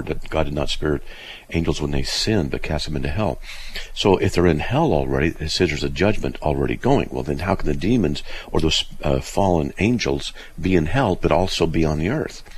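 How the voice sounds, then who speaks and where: Gravelly deep-voiced man hosting radio. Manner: relaxed, chatty, explains scripture plainly. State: developing a chest cold. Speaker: radio call-in show host. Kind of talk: casual radio call-in talk.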